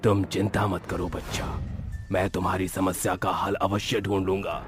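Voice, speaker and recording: Man acting a deep, solemn tantric guru. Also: little pitch movement, moderate pace, music in the background, close to an everyday voice. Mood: calm, reassuring. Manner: assured, commanding, solemn. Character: calm, confident tantric holy man, a village guru. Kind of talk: Hindi horror storybook voice acting.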